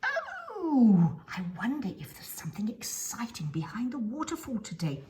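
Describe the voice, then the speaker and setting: A woman plays an eager little boy bear in a children's storybook, bold and bossy with the other cubs. The pitch swings in a very lively way, over clear background noise. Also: a little slow.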